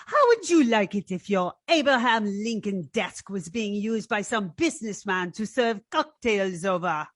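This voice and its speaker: A woman acts out a defiant young femme fatale. She is haughty and emphatic, her pitch swinging widely, in a dry recording of an old radio detective play. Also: animated, unhurried, hardly any pauses, dry recording. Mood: proud. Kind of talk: old-time radio detective drama acting.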